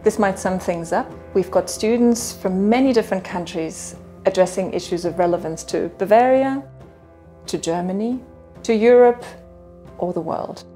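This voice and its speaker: A woman's voice: medium pitch, moderate pace, mellow, soft background music. A middle-aged woman, a program spokesperson, speaking confidently in a promotional interview in an office. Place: indoor office with sofa.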